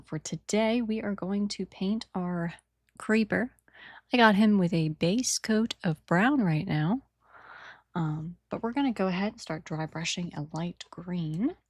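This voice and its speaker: A woman with a raspy morning voice chatting casually and rambling while she paints a model at her desk, on a new microphone without filters. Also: on the low side, a little mellow, no echo, studio quality. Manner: rambling, apologetic, trails off mid-sentence. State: early-morning raspiness. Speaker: hobby painter and channel host. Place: home desk setup.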